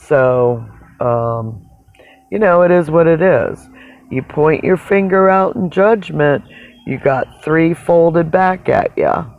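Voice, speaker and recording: Slow, gravelly, raspy voice on phone-like audio. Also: mid-range voice, dry recording. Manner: halting, reflective, pausing mid-sentence. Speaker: livestreamer. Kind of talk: casual rambling livestream monologue. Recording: dull, narrow phone-like sound.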